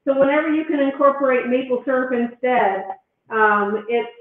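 A woman's voice: high-pitched, moderate pace, a little hiss or hum. A woman cooking in her home kitchen on a video call, chatting and explaining each step as she goes. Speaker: guest doctor demonstrating a recipe. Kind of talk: cooking demo on a live stream. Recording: tinny echoey video-call audio.

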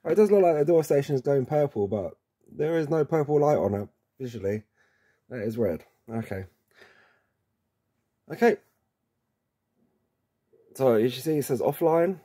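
A man's voice: mid-range voice, expressive, normal speed, long pauses, dark tone, husky. A man presenting an informal instructional setup video, talking the viewer through it step by step and addressing them as guys. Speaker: presenter of product setup tutorials.

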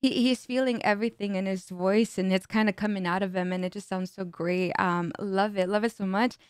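A woman's voice: mid-range voice, fairly even intonation, brisk, clear, resonant tone, no room sound, studio quality. Bubbly bilingual young woman streamer. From United States, Mexican parents. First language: Spanish. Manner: bubbly, switches between English and Spanish. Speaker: music reaction streamer. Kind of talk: casual reaction commentary. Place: home streaming room, indoors. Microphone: studio mic on boom arm, headphones on.

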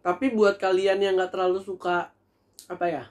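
A man's voice: high voice, normal speed. Chatty young man reviewing food. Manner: chatty and animated, addresses viewers as guys. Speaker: food vlogger. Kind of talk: casual food review vlog. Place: small indoor room, seated at a table.

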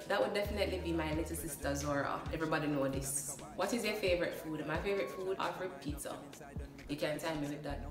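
A woman's voice: low-pitched, echoey room, recorded from a distance. A young Jamaican woman chatting playfully in a casual vlog, slipping into patois, recorded on a phone in a small room. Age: young adult. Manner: chatty, playful, laughs often, slips into patois. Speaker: vlogger. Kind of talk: casual get-to-know-me vlog. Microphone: phone camera at a short distance.

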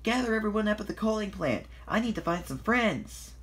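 A storyteller voicing Thomas as an excitable, eager young male tank engine who exclaims a lot. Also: mid-range voice, normal speed, voice pushed up. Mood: excited, eager. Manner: exclaiming, stuttering.

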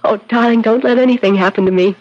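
An actress playing a mysterious, guarded young bride, solemn and measured, with an intense, fearful edge, in a 1970s American radio drama. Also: female voice, a little high, a little hiss or hum, natural voice. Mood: fearful, tender. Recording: muffled vintage radio broadcast with music.

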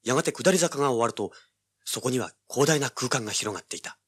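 An actor playing a cocky, tough young treasure hunter, rough-spoken and sardonic, narrating and speaking in a hard-boiled audio drama. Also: male voice, medium pitch, lively intonation, fast talker, fairly bright tone. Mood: tense, cool. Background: dramatic music.

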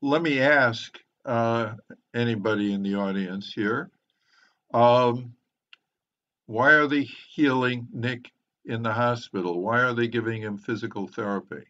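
Slow-paced older man, slightly muffled. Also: fairly bright tone. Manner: measured, deliberate, professorial. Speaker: discussion moderator, professor. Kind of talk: online lecture-style book discussion. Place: indoors, on a video call. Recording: muffled, low-fidelity video call.

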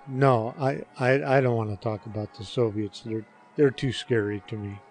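An older man giving casual interview answers in a halting, dryly joking way. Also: mid-range voice, fairly even intonation, a little mellow, soft background music. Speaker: interview guest, father of the hosts.

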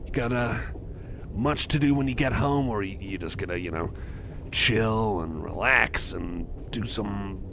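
A man playing a bashful, apologetic young cyborg with a crush in an ASMR roleplay, stammering and repeating himself nervously. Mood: shy, chatty. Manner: stammering, halting, nervous repetitions. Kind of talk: roleplay ASMR, addressing the listener. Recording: clear background train rumble noise. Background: train ride ambience.

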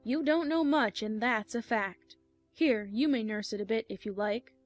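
A woman's voice: a little high, moderate pace, highs cut off, faint music behind, natural voice. A woman playing a rude, brusque noblewoman in a dramatized audiobook, curt and dismissive. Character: brusque, rude Duchess with a baby.

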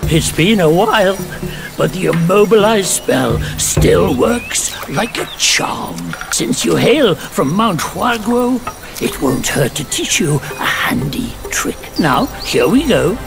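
An actor voicing a spry, folksy old earth god in a video game, scolding but helpful and wry. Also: male voice, sits high, slow, creaky, exaggerated voice. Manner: animated, folksy, chatty and wry. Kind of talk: theatrical video game voice acting. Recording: music under the voice. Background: game music.

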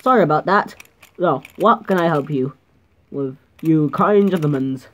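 A man's voice: fairly high pitch, unhurried, fairly bright tone. A young man doing a homemade toy puppet show, switching between many silly put-on voices. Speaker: toy video creator voicing many characters. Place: home room with toys on the floor. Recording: dull lo-fi home recording.

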